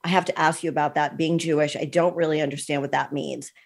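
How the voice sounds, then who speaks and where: Low-voiced, chatty woman host in her sixties. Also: level tone, fast, gravelly. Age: 60s. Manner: chatty, enthusiastic, uses ums. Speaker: podcast host. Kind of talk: podcast interview.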